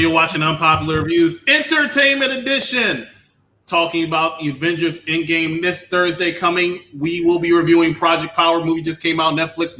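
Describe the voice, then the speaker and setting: A man hosting a film review show, addressing viewers and handing over to panelists in a casual, animated way. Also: fairly high pitch. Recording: echoey room.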